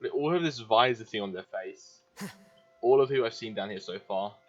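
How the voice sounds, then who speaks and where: Casting: male gamer, fairly low voice, casual play-along commentary, slightly dull recording. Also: normal speed, breathy edge, soft background music. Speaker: gaming YouTuber.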